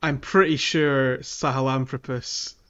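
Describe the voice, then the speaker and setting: A man speaks as a podcast co-host in loose, casual gaming chat. He sits in a middling register and often feels his way through a thought out loud, hesitating as he goes. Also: medium pitch, fairly even intonation, unhurried, clear, resonant tone, lo-fi sound.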